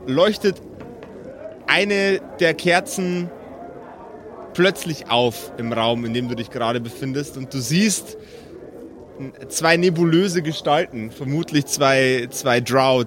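A man running an improvised tabletop game as game master, describing scenes in a dramatic, deliberate way with pauses. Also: a little high, a bit bright.

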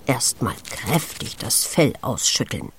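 A woman with a low voice narrates a children's nature story. Her delivery is slow and full of wonder, with very lively swings in intonation as she addresses young listeners. Birdsong is audible behind her. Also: a little hiss or hum. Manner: expressive, hushed and wondering, addressing a small child. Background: forest sounds with chirping birds.

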